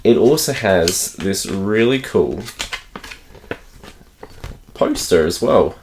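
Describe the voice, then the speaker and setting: An Australian man with a croaky voice from a cold, chatting enthusiastically to camera about games he picked up and laughing often. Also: low-pitched, animated, slow pace, darkish tone, a little hiss or hum. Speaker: gaming channel host. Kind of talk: casual unboxing chat to camera.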